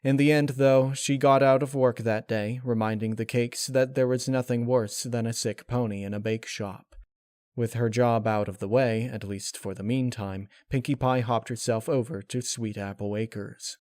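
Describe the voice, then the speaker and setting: A man narrates fiction from a script. His pitch is average and his delivery is measured and serious, like a dramatic reading. The recording is close and dry, with no room sound. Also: fairly even intonation, a little mellow, dry recording. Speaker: story narrator.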